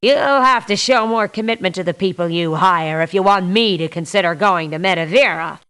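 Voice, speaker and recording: An actress playing a self-assured, demanding professional mercenary in a video game, firm and formal in manner. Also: female voice, fairly low pitch, moderate pace, fairly bright tone, clean tone, lightly acted. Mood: critical, assured. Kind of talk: video game character lines.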